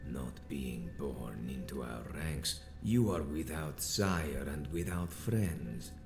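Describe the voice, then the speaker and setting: An actor playing a grandiose, cold and imperious vampire leader in a video game, speaking in a pompous, ornate way. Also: male voice, a bass voice, darkish tone, echoey room, soft background music. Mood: grandiose, commanding. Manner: pompous, ornate, deliberate delivery. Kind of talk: theatrical video game villain acting.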